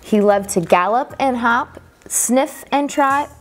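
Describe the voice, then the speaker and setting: A woman reading a children's picture book aloud as a storyteller, expressively and deliberately, drawing out key words for emphasis. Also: fairly high pitch, faint music behind.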